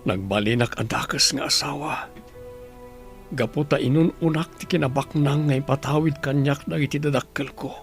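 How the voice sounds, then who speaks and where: A man's voice: on the high side, normal speed, faint music behind, natural voice. A man with an Ilocano accent acting an anguished, remorseful husband in a radio drama, in a pleading manner. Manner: pleading, prayerful. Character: anguished, remorseful husband praying for his sick wife.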